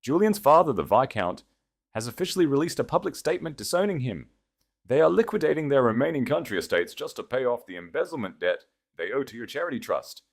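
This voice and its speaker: A man's voice: medium pitch, sing-song, gravelly, voice pushed up. Aristocratic male consort for storytelling, man acting: devoted, composed, conversational delivery with very varied intonation. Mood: mild. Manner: measured, conversational.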